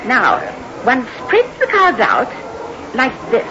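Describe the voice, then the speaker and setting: A woman's voice: a little high, wildly swooping pitch, slow, full character voice. A woman playing a genteel, chatty village lady telling fortunes for fun in a 1960s radio drama. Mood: breezy, cheerful. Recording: muffled, noisy old radio, crowd behind.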